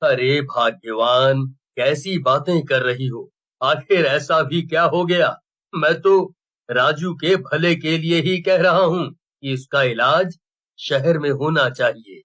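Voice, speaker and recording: Man acting a slow-spoken, high-pitched village headman. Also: fairly bright tone. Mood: concerned. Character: kindly, worried village headman, middle-aged father. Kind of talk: Hindi cartoon story dialogue.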